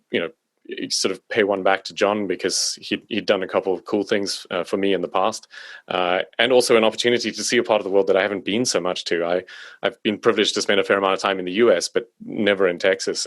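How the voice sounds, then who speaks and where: A man from South Africa, a podcast guest, chatting in a relaxed way with many fillers and restarts. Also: sits low, fast talker, no echo. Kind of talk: casual conversation. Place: home in Stockholm.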